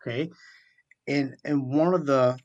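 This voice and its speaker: A man's voice: mid-range voice, fairly even intonation, a little slow, a little mellow, no echo, highs cut off. A middle-aged American man, an interview guest, telling his personal story conversationally and in methodical detail. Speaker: interview guest, military chaplain.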